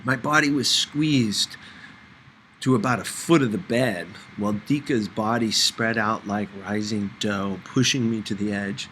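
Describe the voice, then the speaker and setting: A man's voice: lowish voice, a little slow, fairly bright tone, slight background noise, poor audio. A man narrating a first-person novel as an audiobook reader, in a scripted storytelling style.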